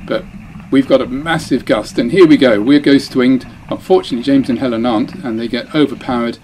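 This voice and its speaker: A man talks over footage of his own sailing race in a chatty, self-deprecating way, often breaking off mid-phrase and starting again. His voice sits at an ordinary middle pitch. Faint boat and water noise runs underneath. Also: fairly quick, a little mellow, low-quality recording. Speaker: dinghy racing sailor.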